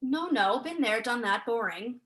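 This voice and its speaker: A young woman student actor playing a sharp, unsentimental, sceptical scholar in a staged play reading. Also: fairly high pitch, lively intonation, unhurried. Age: young adult.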